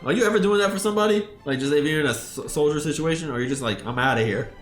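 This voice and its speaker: A man's voice: a little high, rapid-fire. A man co-hosting a movie review with casual, slangy, joking commentary and some mild swearing. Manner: slangy, joking, mildly profane. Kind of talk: casual film commentary banter. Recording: roomy echo, faint music behind.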